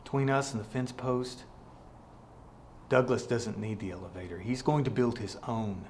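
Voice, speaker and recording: A man's voice: medium pitch, moderate pace, a little mellow, lightly acted. A man playing the ghost of a witty male literature professor in a comedy-drama web series, with wry, theatrical phrasing. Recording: roomy echo, clear background noise.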